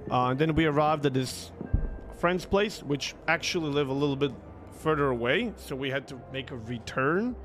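A young man, a streamer, telling stories casually in a rambling, halting, conversational way into a close desk microphone. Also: a little high. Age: young adult. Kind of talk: casual livestream storytelling.

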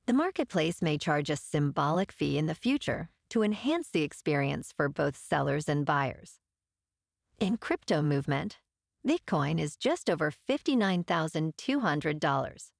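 Podcast news host, woman, deep voice with fry, animated script read, dead-dry booth. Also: moderate pace, no echo.

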